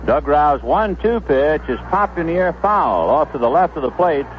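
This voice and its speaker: A man doing live radio baseball play-by-play, describing the action in measured phrases with pauses between them. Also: on the high side, expressive. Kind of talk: live radio sports commentary. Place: stadium broadcast booth. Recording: muffled 1970s AM radio broadcast, background noise.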